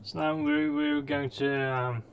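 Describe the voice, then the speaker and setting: A man whose first language is Norwegian, chatting casually and haltingly with viewers while streaming a game. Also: medium pitch, little pitch movement, gravelly, clear, resonant tone. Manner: halting, many fillers, greets chatters by name. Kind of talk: casual live-stream chat.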